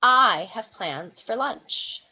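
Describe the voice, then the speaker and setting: A woman's voice: on the high side, animated, very slow, pushed and bright, slightly rough, full character voice, rough recording. A woman playing a spoiled, bored young heiress in a comedic play, dismissive and flippant, with a drawling delivery. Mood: impatient, annoyed. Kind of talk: comedic acting in an online play.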